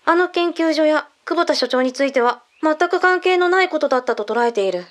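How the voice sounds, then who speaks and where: An actress voices a female intelligence agent with a notably high voice. She is brisk and matter-of-fact, laying things out in blunt, mannish phrasing in the manner of a radio drama. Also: expressive. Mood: matter-of-fact, explanatory. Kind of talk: Japanese radio drama acting.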